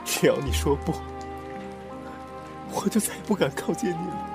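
A man's voice: on the high side, animated, normal speed, loud music behind the voice. A man playing an earnest, clinging twenty-year-old in love, pleading with passion in a melodramatic audio drama. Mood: desperate, pleading. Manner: pleading, impassioned. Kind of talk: Chinese amateur audio drama, melodramatic acting.

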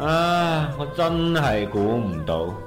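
A man playing a lively, proud gang boss. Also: on the high side, slow. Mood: hurt. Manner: swings from hurt to stern lecturing. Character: male gang boss, proud, wounded, stubborn. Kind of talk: comedy radio drama acting. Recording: echoey room with music underneath.

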